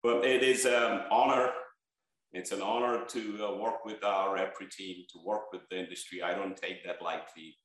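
Man from Bangladesh, research leader, earnest and listing, lowish voice. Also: fairly even intonation, normal speed, fairly bright tone, heavy reverb, rough recording. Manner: earnest, stresses key words, lists points. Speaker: head of energy research, interview guest. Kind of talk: interview answers.

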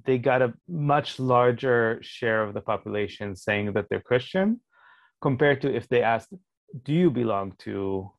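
A man, a Hebrew speaker, answering interview questions as a sociologist in a measured, explanatory way, thinking aloud as he goes. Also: mid-range voice, lively intonation, creaky, no room sound. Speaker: sociologist guest.

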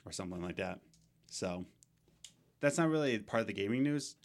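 Young male podcast co-host, slightly low voice, loose rambling delivery with fillers. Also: lively intonation, moderate pace. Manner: rambling, many fillers and restarts. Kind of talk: casual gaming podcast chat.

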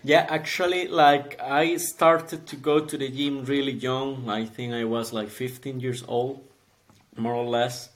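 A man's voice: medium pitch, fairly even intonation, unhurried. A man in his twenties from Costa Rica with a Costa Rican accent, chatting in a friendly way as a podcast guest who laughs often. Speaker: podcast guest, calisthenics athlete. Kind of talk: casual interview.

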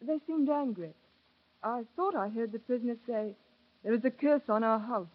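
An actress playing a gentle, anxious young lady as a reluctant witness in an old-time radio drama, polite and hesitant. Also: on the high side, moderate pace, breathy edge. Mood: anxious, earnest. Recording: tinny, narrow vintage radio recording.

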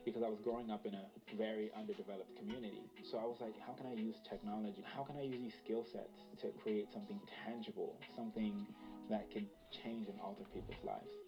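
A young man raised in Los Angeles and born in Ghana, earnestly reflecting in an interview clip with casual fillers. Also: lowish voice. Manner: earnest, fillers of "like" and "you know". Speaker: tech designer in a profile clip. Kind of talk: reflective personal interview monologue. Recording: dull clip played with music behind. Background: music.

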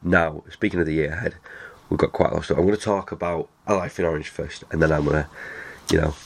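A man with a very deep voice hosts a casual podcast. His voice is nasal from a cold. He rambles and restarts his sentences. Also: fairly even intonation, fast talker, vocal fry. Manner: rambling, chatty, many restarts. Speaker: podcast host. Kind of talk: casual chat.

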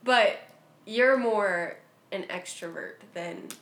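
A young adult woman hosting a podcast, easygoing and quick to laugh in casual chat. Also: mid-range voice, a bit bright. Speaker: podcast host. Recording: roomy echo with faint noise.

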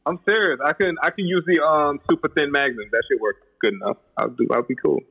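A man co-hosting a casual podcast chat, easygoing and relaxed. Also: a little high, quick pace, lo-fi sound. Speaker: podcast co-host. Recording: husky voice in long reverb.

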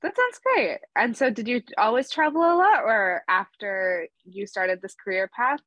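A woman's voice: on the high side, lively intonation, normal speed, a bit bright, dry recording, lo-fi sound. A young woman, a student interviewing a guest in a casual, upbeat way.

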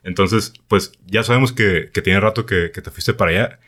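A young Mexican man with a Mexican accent, hosting a casual podcast in a relaxed, slangy way with lots of fillers. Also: deep voice, moderate pace. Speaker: podcast host.

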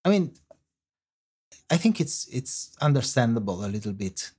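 A man with an Italian accent and Italian as his first language, a guest on a podcast interview, answering in halting English as he searches for words. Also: mid-range voice, sing-song, dark tone, breathy edge. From Italy. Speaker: guest composer.

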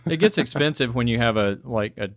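A man's voice: mid-range voice, expressive, fairly quick. A man with a Southern American accent, a band musician telling tour stories in a blunt, sweary, casual way. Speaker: touring band musician being interviewed. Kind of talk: casual chat, storytelling.

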